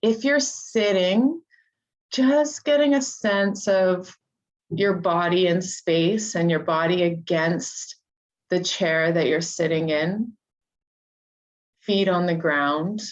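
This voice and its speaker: A woman leading a guided meditation, giving soothing, unhurried instructions with long pauses between phrases. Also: on the low side, muffled recording.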